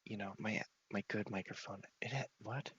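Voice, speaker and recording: A man softly narrating his gameplay ASMR-style into a headset microphone in a casual, unhurried, rambling way, at times dropping to a whisper. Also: on the low side, fairly even intonation, husky, dull audio. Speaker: host of a gaming channel. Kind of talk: soft-spoken ASMR gameplay commentary.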